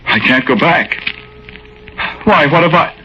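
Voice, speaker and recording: A man's voice: fairly high pitch, expressive, a little slow. A man playing the spirit of a condemned man in a 1940s radio drama, anxious and turning to accusing outbursts. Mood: alarmed. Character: condemned man's spirit, frightened then furious. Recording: scratchy lo-fi 1940s radio broadcast with hiss.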